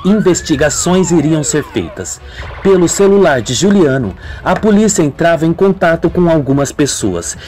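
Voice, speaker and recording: A man's voice: a little high, lively intonation, moderate pace, a little mellow. A man narrating a scripted radio soap opera as a dramatic storyteller. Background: music.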